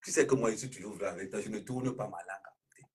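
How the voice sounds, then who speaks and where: A man chatting casually on a live stream, speaking in a flowery, flattering way. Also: rapid-fire, breathy edge. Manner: flowery, sweet-talking, bursts of high laughter.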